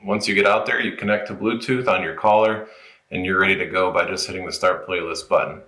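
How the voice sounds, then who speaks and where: A man's voice: low-pitched, flat delivery, fairly quick, pushed and bright. A man presenting an informal, unscripted app walkthrough, explaining each step as he goes in a roomy space. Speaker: product tutorial presenter.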